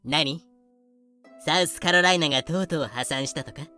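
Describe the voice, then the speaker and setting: A man's voice: on the high side, moderate pace, pushed and bright, faint music behind. A man voicing a haughty, spiteful colonial governor with scornful, sarcastic, feminine phrasing in a fan audio drama. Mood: curt, stern.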